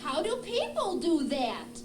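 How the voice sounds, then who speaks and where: Very high, curious squirrel puppet. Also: female voice, huge pitch swings, full character voice. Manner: exclaiming, questioning, drawn-out delivery. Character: curious, excitable young squirrel puppet, full of questions. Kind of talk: children's puppet show acting. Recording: roomy, echoing, noisy live puppet show.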